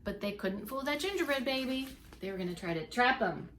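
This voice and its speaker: A woman's voice: fairly high pitch, lively intonation, fairly quick, fairly bright tone. Woman reading a storybook, faint background noise. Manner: emphatic storytelling with asides to listeners. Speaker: preschool teacher reading aloud. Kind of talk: expressive storybook read-along for young children.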